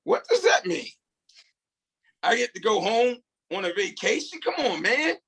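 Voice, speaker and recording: A man acts out a sceptical prisoner at a parole hearing in a drama read-through. The voice is high, bright and sharp, pushing back indignantly. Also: big pitch swings, unhurried, vocal fry, slightly rough, exaggerated voice. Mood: disbelieving, indignant. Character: sceptical prisoner questioning his parole board.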